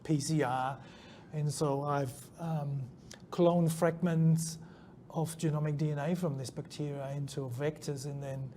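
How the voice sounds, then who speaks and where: A man's voice: a little high, fairly even intonation, measured pace, dark tone. German man with a Kiwi accent. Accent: New Zealand. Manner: hesitant, many ums and restarts. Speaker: scientist guest. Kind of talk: interview answers. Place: conference venue. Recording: faint background noise at a conference.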